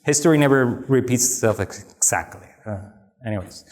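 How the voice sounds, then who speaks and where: A middle-aged man answers questions from a lecture audience. His voice sits at an ordinary pitch, and he talks in an animated, emphatic way with wry asides and many restarts. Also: a little fast. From Dominican Republic. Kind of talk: lecture Q&A, conversational.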